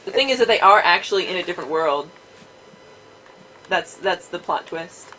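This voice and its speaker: A woman's voice: lowish voice, expressive, normal speed, a crowd in the background, low-quality recording. A woman giving casual let's play commentary on a game with friends.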